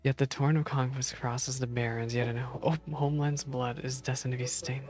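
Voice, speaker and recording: A man's voice: mid-range voice, level tone, moderate pace, darkish tone. A man streaming a game, reading story text aloud and reacting casually as he goes.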